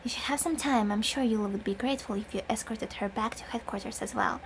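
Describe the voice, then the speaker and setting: Woman reading as a calm young alchemist. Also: fairly high pitch, quick pace, a little hiss or hum, natural voice.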